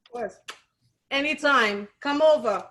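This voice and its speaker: Unhurried New York woman, high voice. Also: a bit bright, slight background noise. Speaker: singer. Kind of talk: casual chat before singing. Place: home in Los Angeles, video call.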